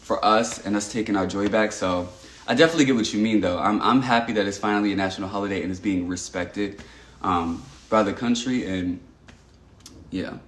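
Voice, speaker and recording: A man's voice: fairly low pitch, a little fast, a bit bright, a little hiss or hum. A young man from Texas talking earnestly on a casual livestream, reading out and answering viewer comments with frequent "um"s and restarts. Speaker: livestream host. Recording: echoey room.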